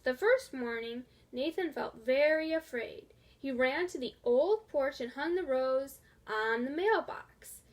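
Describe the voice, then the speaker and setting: A woman reading a children's storybook aloud in a deliberate, expressive way. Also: high voice. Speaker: storybook reader. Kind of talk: scripted children's story narration.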